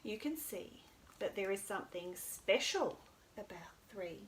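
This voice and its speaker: A woman's voice: medium pitch, expressive, measured pace, a little hiss or hum, rough recording. A woman hosts a storytime for young children, speaking in an encouraging, sing-song way with simple phrases. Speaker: children's storytime host at a library.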